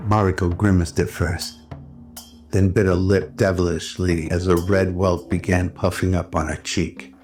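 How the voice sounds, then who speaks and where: A man giving scripted dramatic narration in the style of an old radio drama. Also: sits low. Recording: loud music under the voice. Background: background music.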